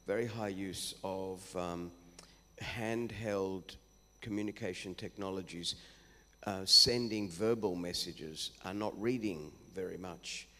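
Low-voiced male questioner, formal and wordy, in a hall with a faint hum. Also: takes it slowly, pushed and bright. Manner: formal, long-winded, with fillers. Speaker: audience questioner.

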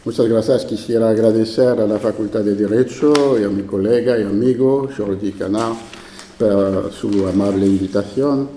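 A man with a French accent, a native French speaker, giving a formal academic conference lecture in a university lecture room, measured with occasional hesitations. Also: lowish voice, fairly even intonation, warm tone, a little hiss or hum. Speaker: invited speaker. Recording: echoey lecture hall.

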